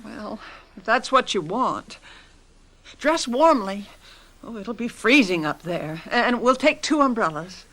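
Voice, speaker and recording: A worried wife in a radio play, her bright, slightly high voice calm and coaxing. She keeps trying to soothe him. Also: a little slow, poor audio. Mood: caring. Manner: calming, coaxing. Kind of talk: 1990s radio drama acting.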